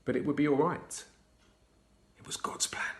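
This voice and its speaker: Man softly reading a children's story. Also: fairly high pitch, moderate pace. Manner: hushed, expressive, dropping to whispers. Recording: roomy echo.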